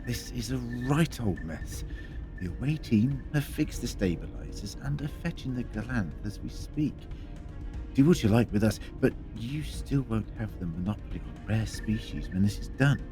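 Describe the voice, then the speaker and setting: An actor playing a cunning, defiant time-travelling adventurer in a science fiction audio drama, wry and deliberate. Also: male voice, lowish voice, lively intonation, music in the background, close to an everyday voice. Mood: taunting.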